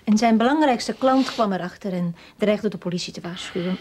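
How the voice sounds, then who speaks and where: An actress playing a frightened, guilt-ridden widow, earnest and breathy, in a radio drama. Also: female voice, mid-range voice, fairly quick, darkish tone, slight background noise, natural voice. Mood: troubled confession. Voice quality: breathy, husky. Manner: earnest, anxious, insistent.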